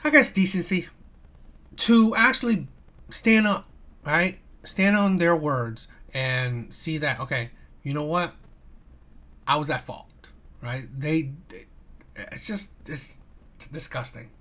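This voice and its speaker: A man hosting a live stream and giving reaction commentary, talking in a halting way with many restarts and tag questions like "right?". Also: a little high, fairly bright tone. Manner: halting, repeats "right?" and "okay?".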